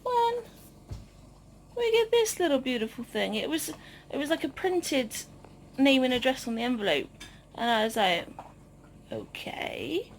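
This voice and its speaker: Woman, vlog host, higher-pitched, unhurried, informal, halting chat, slight background noise. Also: sing-song. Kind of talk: casual vlog chat to camera.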